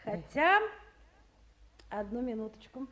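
An actress playing a practical, good-natured town council official in a radio play, with a folksy, conciliatory manner. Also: female voice, a little high, lively intonation, slow, fairly bright tone, a little hiss or hum. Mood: brisk, familiar.

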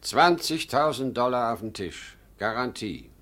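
A man's voice: mid-range voice, animated, measured pace, a bit bright. A man acting a desperate, proud, worn-out old travelling salesman in a 1950s radio drama, pleading and insistent, swinging between whispers and outbursts.

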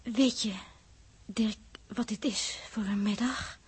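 An actress playing an earnest, knowing female companion with a breathy voice, in 1960s Dutch radio drama style. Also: mid-range voice, steady tone, slow. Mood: hushed, intent.

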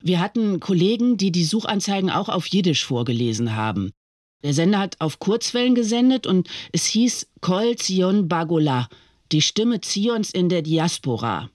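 A man with a high, animated voice explains things in interview style. The sound is dry and close. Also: moderate pace, no echo. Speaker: former broadcaster giving a first-hand account.

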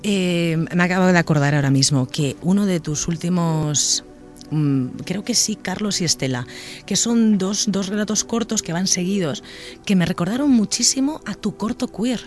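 Male radio host, high bright voice, gushing, faint music underneath. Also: normal speed. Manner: chatty, effusive. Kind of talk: radio interview chat.

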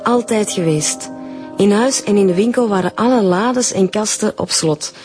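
A woman's voice: mid-range voice, normal speed, darkish tone, faint music behind, close to an everyday voice. An actress playing a guarded, defensive woman being questioned in a radio drama. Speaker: victim's daughter.

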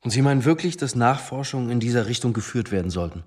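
Stern, husky police chief. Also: male voice, medium pitch, animated, fast, a little mellow. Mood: stern, irritated. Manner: formal, clipped, probing and reproving. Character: stern, weary male police chief, guarded and superior. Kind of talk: radio drama acting.

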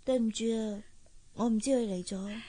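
A woman's voice: a little high, steady tone, moderate pace, warm tone, lightly acted. An actress playing a meek, studious teenage girl in a radio drama, hesitant and deferential, with a Hong Kong Cantonese accent. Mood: apologetic. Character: meek, studious teenage daughter of a poor family.

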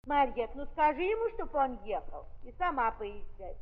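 An actress playing an imperious old countess, a blunt and exclamatory aristocratic aunt, in the theatrical style of 1930s Russian radio drama. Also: female voice, high voice, big pitch swings, normal speed. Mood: insistent, persuasive. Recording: muffled 1930s recording, long hall reverb.

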